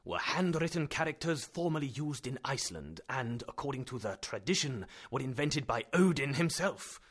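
A man voicing an excitable, impatient fifty-year-old German professor who scolds and lectures loudly. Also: medium pitch, normal speed, slightly rough. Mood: lecturing.

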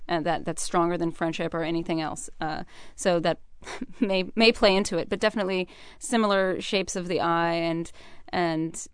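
A woman's voice: on the low side, fairly even intonation, normal speed, clear, resonant tone, no echo, studio quality. A young American woman giving earnest, thoughtful and articulate answers as an interview guest. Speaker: interview guest, military veteran.

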